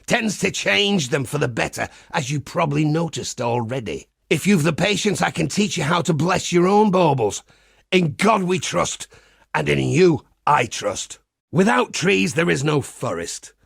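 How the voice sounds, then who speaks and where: A man voicing a pious, wry crusader priest in a video game, with a husky, gravelly voice and a measured, folksy preacher's manner. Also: on the high side, expressive, dry recording, clean studio sound, heavily acted. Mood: warm, approving, then reflective, devout. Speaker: game character. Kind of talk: scripted game character monologue.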